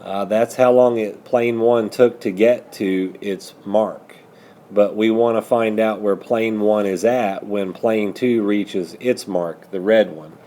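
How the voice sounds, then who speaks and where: A man's voice: lowish voice, steady tone, takes it slowly, mellow, slight background noise. A man working methodically through a math lesson as a teacher, patiently prompting students with questions. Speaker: high school algebra teacher.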